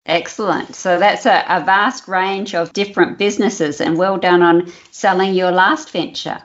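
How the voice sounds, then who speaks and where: Lower-voiced woman podcast host. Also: fairly even intonation, moderate pace, lo-fi sound. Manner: friendly, introduces topic and guest. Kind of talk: podcast interview.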